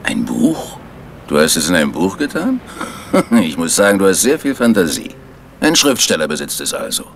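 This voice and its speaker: Casting: radio-play gangland boss, low, swooping delivery, sardonic and commanding. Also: male voice, sing-song, normal speed, a little hiss or hum. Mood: mocking. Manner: mocking, commanding. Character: menacing, sardonic male crime boss. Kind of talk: 1970s German radio drama acting.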